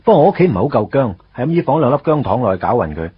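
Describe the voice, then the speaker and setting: A man's voice: mid-range voice, sing-song, racing, breathy edge. An actor playing a wry, put-upon young man in a Cantonese radio drama, conversational and self-mocking with a Hong Kong accent. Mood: exasperated. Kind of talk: radio drama narration and dialogue. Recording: dull radio recording with music underneath.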